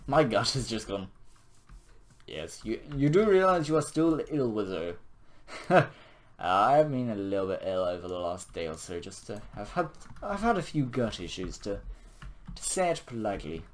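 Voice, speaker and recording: Hoarse guy with a fading voice. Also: fairly low pitch, very animated, moderate pace. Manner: self-mocking, chuckling, rambling. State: hoarse, voice nearly gone. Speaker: gaming video creator. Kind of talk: casual game commentary while building.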